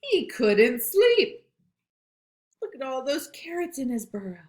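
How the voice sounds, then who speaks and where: A woman reading a picture book aloud to children, slow and expressive, stopping to ask questions. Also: sits high. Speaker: read-aloud storyteller. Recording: roomy echo.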